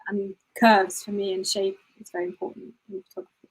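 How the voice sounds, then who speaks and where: A woman's voice: mid-range voice, big pitch swings, fairly quick, breathy edge, rough recording. A woman photographer answering interview questions in a chatty way, often saying "you know" and restarting sentences. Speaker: guest photographer.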